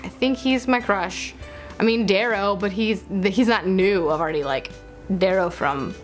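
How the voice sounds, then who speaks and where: A woman chatting casually as a book vlogger, playful and self-interrupting, gushing and repeating words. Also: mid-range voice. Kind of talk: casual chatty vlog to camera.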